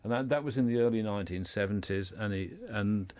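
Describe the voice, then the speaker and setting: A man with a low voice talks as a guest in a radio discussion. The sound is dry, with little room in it. He explains haltingly, often doubling back on his words. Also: darkish tone, no room sound.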